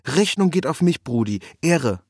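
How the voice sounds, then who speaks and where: A man voicing a boisterous, cocky young street buddy with loud, slangy bragging in a narrated story. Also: a little high, expressive, a little slow, breathy edge, no room sound, clean studio sound, voice pushed up. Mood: cocky, excited. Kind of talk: acted dialogue in a narrated story.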